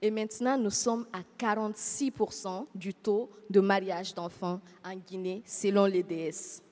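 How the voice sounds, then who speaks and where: A woman's voice: mid-range voice, steady tone, moderate pace, darkish tone. A woman panelist and activist speaking earnestly at a panel. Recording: reverberant hall.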